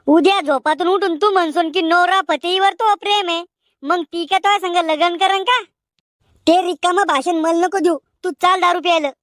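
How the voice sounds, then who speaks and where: Very high-pitched cartoon village lad voiced by a woman. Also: fairly bright tone, dry recording, studio quality. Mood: argumentative. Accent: rural Maharashtrian Marathi. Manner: colloquial, bantering. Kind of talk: cartoon comedy dialogue.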